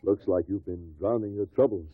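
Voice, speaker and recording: Steady, concerned pal, rough-edged low voice, narrow vintage radio sound. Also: male voice, normal speed, no echo. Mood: cautioning. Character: concerned, level-headed male friend of a troubled drinker. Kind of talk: 1950s radio drama acting. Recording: muffled narrow-band 1950 radio recording.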